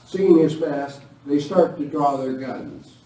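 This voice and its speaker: A man's voice: a little high, slow pace, mellow. A man reading scripted narration for an amateur live radio western. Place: village hall live performance. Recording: very echoey room, distant, faint noise.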